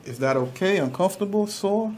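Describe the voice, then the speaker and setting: A man's voice: high-pitched, lively intonation, brisk. A middle-aged man working as a chiropractor, explaining the exam to a patient and often checking in with short questions. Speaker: chiropractor, video host. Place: small indoor clinic treatment room. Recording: clear background room noise.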